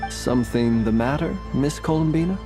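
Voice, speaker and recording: A man voicing a courteous gentleman candy maker in a video game, speaking formally and politely with elaborate phrasing. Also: medium pitch, moderate pace, natural voice. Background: game soundtrack music.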